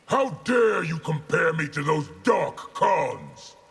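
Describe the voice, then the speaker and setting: An actor playing an arrogant, menacing rebel warlord in a fighting game, with an imperious, forceful delivery. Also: male voice, a little high, lively intonation, slow pace, over loud music. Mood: indignant, forceful. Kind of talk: video game fight intro dialogue. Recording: loud noise behind game dialogue.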